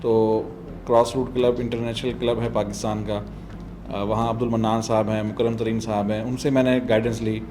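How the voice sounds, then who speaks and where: A man's voice: lowish voice, flat delivery, brisk, background noise. A middle-aged man with a southern Punjab Pakistani accent, telling his story conversationally as a guest in an interview. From Multan, South Punjab, Pakistan. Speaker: podcast guest, doctor and motorbike traveller.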